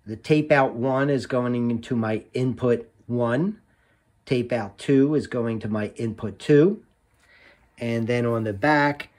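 A man's voice: medium pitch, a little mellow. A man narrating an instructional tutorial as its host, explaining things step by step in a plainspoken way.

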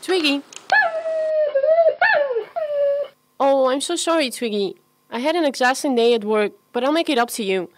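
A woman's voice: high voice, animated, a little fast, noisy background. A woman playing a friendly, chatty, self-doubting young woman in an amateur radio play, with a hesitant, natural delivery. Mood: affectionate.

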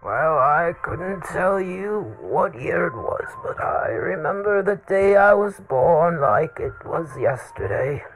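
A man's voice: a little high, expressive, slow, hardly any pauses, a little hiss or hum, pitched above the performer's own voice, rough recording. A man voicing an ancient, eccentric old hermit who rambles forgetfully and theatrically in a comedy mock interview. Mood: whimsical. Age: elderly.

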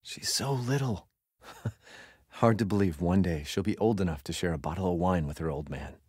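Low, husky, doting game dad. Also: male voice, normal speed, big-room sound. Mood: fond. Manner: gentle, affectionate. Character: tender, doting young father musing about his baby daughter. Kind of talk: reflective video game voice acting.

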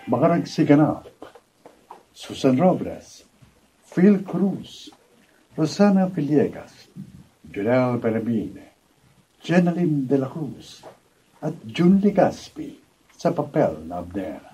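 A man's voice: medium pitch, sing-song, slow, long pauses, mellow, breathy edge, rough recording. A Filipino man reading scripted narration and credits as a radio drama announcer.

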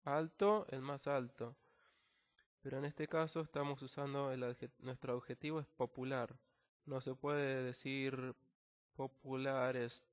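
A man's voice: medium pitch, little pitch movement, unhurried. A man with an Argentine accent, an English teacher patiently explaining a technical text word by word, with frequent fillers. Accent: Argentine Spanish. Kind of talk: screen-recorded language lesson. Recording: slightly dull lo-fi recording.

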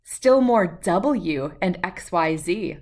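A woman in her thirties reading a picture book aloud to young children in an expressive, playful, rhythmic way. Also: lowish voice, slow pace. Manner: expressive, playful, sing-song rhythmic delivery. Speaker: children's read-aloud host.

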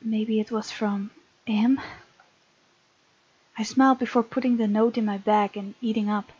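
A woman reads a visual novel aloud as a let's play host, dropping in casual remarks. Her voice sits fairly high and her manner is playful and expressive. The close-mic sound is dry and a little dull. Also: unhurried, husky, dry recording. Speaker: gaming video host. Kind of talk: let's play, reading game text aloud with casual asides.

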